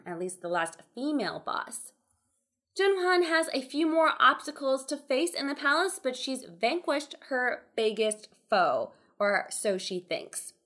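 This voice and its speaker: A woman's voice: sits high, a bit bright. A woman co-hosting a podcast, recapping a drama and wrapping up the episode. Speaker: podcast co-host. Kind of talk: casual podcast chat.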